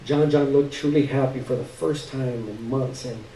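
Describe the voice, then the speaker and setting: A man giving an expressive live reading of a literary story, with dramatic, halting pauses. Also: mid-range voice. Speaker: story reader. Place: live stage reading indoors. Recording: roomy, distant stage recording.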